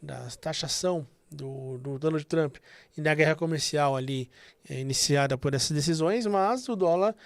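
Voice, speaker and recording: Guy hosting a money podcast, kinda high voice, chatty, dry close mic. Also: moderate pace, gravelly, no echo. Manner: conversational, explanatory, frequent fillers. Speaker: podcast host. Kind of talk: casual business podcast chat.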